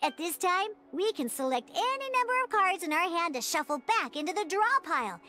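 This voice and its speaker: A woman voicing a peppy young cartoon mascot in a video game tutorial, chirpy and childlike, eagerly explaining with expressive exclamations. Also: very high-pitched, fairly quick, full character voice. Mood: excited.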